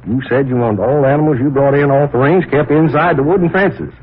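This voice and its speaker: An actor playing a folksy, deferential but insinuating ranch hand in an old radio drama, plain-spoken. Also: male voice, mid-range voice, brisk, close to an everyday voice. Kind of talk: 1940s radio drama acting. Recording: muffled, rough old radio transcription.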